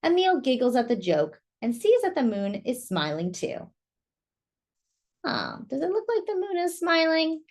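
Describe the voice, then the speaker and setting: A woman storyteller with a fairly high voice reads a children's picture book. She takes her time, with lively, expressive intonation, and chats to young listeners with questions along the way. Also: measured pace.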